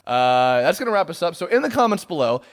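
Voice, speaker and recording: A man's voice: on the high side, big pitch swings, quick pace. A man hosting a casual fan podcast, talking in a rambling way with many restarts and fillers, and joking with his guest. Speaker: podcast host.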